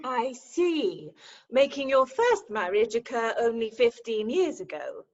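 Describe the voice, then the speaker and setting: A muffled call recording of a woman playing an arch young lady in a Victorian farce. Her high, slightly husky voice moves up and down freely, breezy and teasing. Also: measured pace. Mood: sympathetic, practical. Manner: arch, amused, expressive. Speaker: actor in an amateur staged reading. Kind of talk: Victorian farce stage acting. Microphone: video call.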